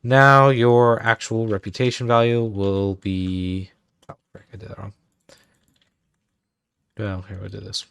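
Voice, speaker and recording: Low-voiced man muttering through a game tutorial. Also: little pitch movement, measured pace, gravelly, long pauses, fairly bright tone, smooth, dry recording. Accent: put-on Irish. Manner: thinks aloud, muttering commands while typing. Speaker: streamer. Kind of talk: casual game livestream tutorial.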